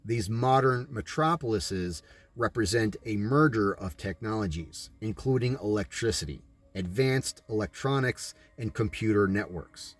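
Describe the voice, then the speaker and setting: A man's voice: on the low side, lively intonation, measured pace, faint music behind. An American man reading aloud and adding his own commentary in an emphatic, preachy manner, like a sermon. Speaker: audiobook reader and commentator.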